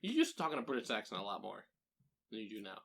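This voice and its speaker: A man's voice: on the low side, sing-song, very fast, creaky, pushed and bright. A man co-hosting a casual podcast, joking and teasing his friend in loose banter. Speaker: podcast co-host. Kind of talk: casual chat.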